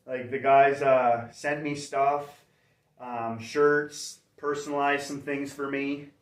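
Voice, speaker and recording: A man's voice: medium pitch, steady tone, takes it slowly. A middle-aged man from Canada chatting casually on a livestream as host, upbeat and rambling, correcting himself as he goes. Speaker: channel host. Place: indoors near a fireplace. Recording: roomy echo, mic far off.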